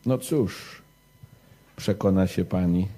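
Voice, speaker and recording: A wise old grandfather from a bedtime story, voiced by a man. He is calm and measured, handing out lessons slowly. His voice is dark and husky. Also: low-pitched.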